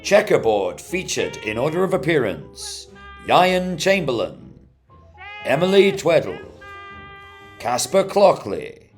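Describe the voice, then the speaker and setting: Animated, theatrical male radio announcer. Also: fairly high pitch, slow, faint music behind.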